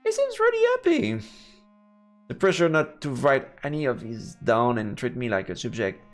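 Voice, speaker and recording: A man's voice: mid-range voice, wildly swooping pitch, normal speed, faint music behind. A man live-streaming a game, reading its narration aloud and adding murmured, playful asides. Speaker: let's play streamer.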